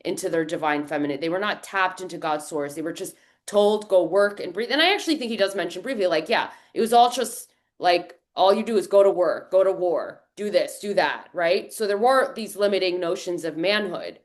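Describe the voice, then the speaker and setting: Female podcast co-host, low-ish voice, animated, thinks out loud as she goes. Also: a little fast, hardly any pauses. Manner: animated, thinking aloud, many fillers. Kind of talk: casual discussion.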